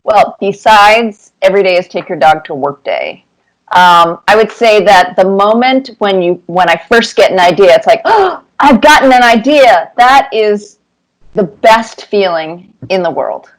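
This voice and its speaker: A woman's voice: mid-range voice, expressive, measured pace, low-quality recording. Woman telling anecdotes in a casual interview. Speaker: cartoonist being interviewed.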